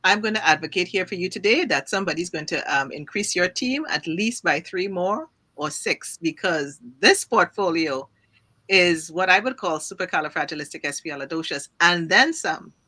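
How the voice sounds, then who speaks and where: A woman's voice: on the low side, animated, moderate pace, bright, forceful tone. A woman hosting an online talk show, interviewing guests in a bubbly, playful, effusive way. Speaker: host.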